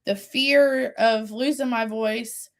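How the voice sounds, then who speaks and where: A woman's voice: fairly high pitch, steady tone, slow pace. Earnest woman in her forties, reflective. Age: 40s. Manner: earnest, faith-driven, with laughs and restarts. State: voice recovering after loss. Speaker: singer-songwriter guest. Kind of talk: reflective interview talk.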